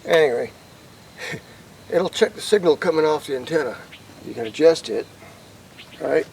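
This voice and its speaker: An American man with a slightly high, creaky voice talks informally, as if working things out aloud while he shows his gear. His delivery is casual and rambling, and there is clear background noise around him. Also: moderate pace, darkish tone, low-quality recording. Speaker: hobbyist vlogger demonstrating CB radio gear. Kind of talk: casual rambling demonstration to camera.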